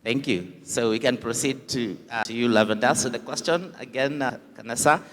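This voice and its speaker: A man introduces panelists from a conference stage in a courteous, formal way. His voice sits at an ordinary middle pitch. The sound carries a long hall reverb. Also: normal speed. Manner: formal, polite, repeats "kindly". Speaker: panel moderator. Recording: echoey hall.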